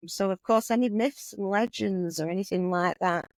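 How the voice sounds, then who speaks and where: A woman's voice: mid-range voice, moderate pace, no echo, clean studio sound. An English woman from England, a guest artist in a casual chat, speaking in a meandering, hesitant way. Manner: meandering, hesitant, with "you know" and "sort of". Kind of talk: casual conversation.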